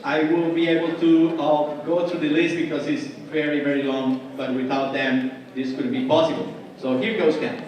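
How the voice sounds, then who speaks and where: A male event host gives informal introductions in a halting, searching way. His voice sits slightly high and comes from far off in a very echoey hall, with audience murmur around him. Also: flat delivery, crowd noise.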